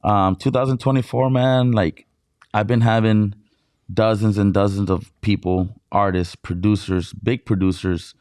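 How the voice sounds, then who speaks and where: A man from Mexicali, a DJ guest on an interview show, telling stories in excited, casual talk full of filler words and swearing. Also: fairly low pitch, unhurried, smooth, dry recording, clean studio sound. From Mexicali, Baja California, Mexico.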